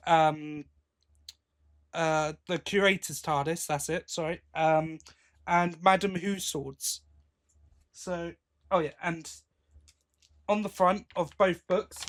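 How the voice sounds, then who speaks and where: A British man hosting a podcast in casual chat, rambling along and often interrupting himself. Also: sits high. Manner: chatty, rambling, self-interrupting. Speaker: podcast host.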